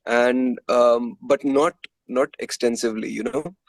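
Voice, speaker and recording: A man's voice: mid-range voice, fairly even intonation, unhurried, gravelly, no echo, low-quality recording. A man with an Indian accent talking casually as a musician being interviewed.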